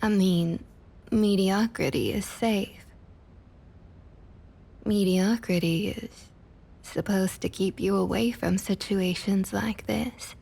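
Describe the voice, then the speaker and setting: Woman playing a slow-drawling, husky Southern vampire councilwoman. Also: medium pitch, steady tone, warm tone, full character voice. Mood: smug, taunting. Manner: drawling, falsely sweet, condescending. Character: smug, condescending Southern vampire councilwoman toying with her prey. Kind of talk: ASMR roleplay, intimate scripted monologue.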